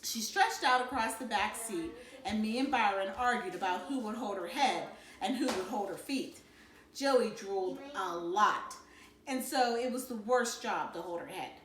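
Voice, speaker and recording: A woman reading a children's novel aloud in an expressive storytelling style. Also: medium pitch, moderate pace, roomy sound, slight background noise, rough recording. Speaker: storybook reader.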